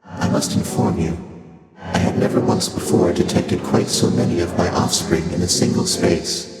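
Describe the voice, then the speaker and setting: A man voicing an ancient, detached primordial deity in a video game, speaking formally and deliberately with breathy, otherworldly layered processing. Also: low-pitched. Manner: formal, measured, solemn, deliberate phrasing. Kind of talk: video game character dialogue. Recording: cavernous long reverb, loud game music behind.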